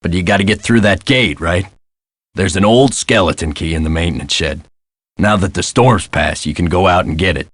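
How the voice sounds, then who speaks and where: An actor playing a gruff, put-upon castle hotel caretaker in a video game, with a folksy, sardonic and exasperated way of talking. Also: male voice, low-pitched, moderate pace, fairly bright tone, no room sound. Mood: wry, reflective. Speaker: caretaker and front desk clerk. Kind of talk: scripted video game character lines.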